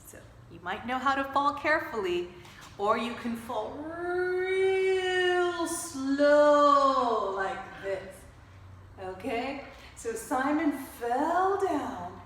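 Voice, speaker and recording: In a roomy, echoing space with faint noise, a woman tells a children's story. Her voice sits fairly high and she takes her time, expressively inviting listeners to move along with the tale. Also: fairly bright tone. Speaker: storyteller, author reciting own story. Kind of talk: children's storytime with movement cues.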